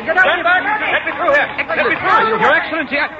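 An actor playing an intense doctor in a 1950s radio drama. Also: male voice, extremely high pitch, fairly quick. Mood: urgent, accusing. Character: intense, scolding male doctor. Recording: dull vintage radio, loud crowd noise.

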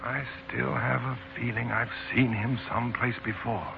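An actor playing a wry, proud, cynical newspaper writer and romantic lead, dry and wisecracking, in 1940s radio style. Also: male voice, medium pitch, flat delivery, moderate pace, vocal fry, slight background noise. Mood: suspicious. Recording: muffled 1940s radio broadcast, music behind.

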